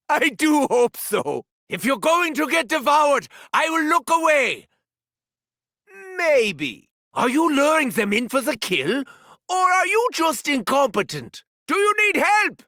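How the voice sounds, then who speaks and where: Wildly swooping, gleeful German mad scientist. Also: male voice, extremely high pitch, sharp tone, no room sound, studio quality, full character voice. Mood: mocking. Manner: theatrical, swooping from gleeful shrieks to sinister murmurs. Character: gleeful sadistic mad scientist villain, theatrical and taunting. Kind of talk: video game character voice lines.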